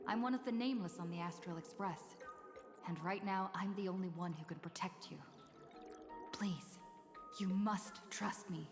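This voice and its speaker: A woman's voice: mid-range voice, moderate pace, natural voice. An actress voicing a bold, cheeky young female traveler in a game. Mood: confident. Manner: cheeky, lively, confident. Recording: echoey game audio with reverb and clear music.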